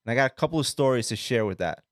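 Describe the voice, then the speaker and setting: Sicilian-born New Yorker in his thirties, loose and animated podcast co-host, mid voice, dry mic. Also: mid-range voice, rapid-fire, no room sound. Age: 30s. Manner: rambling, animated storyteller, swears. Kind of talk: casual chat.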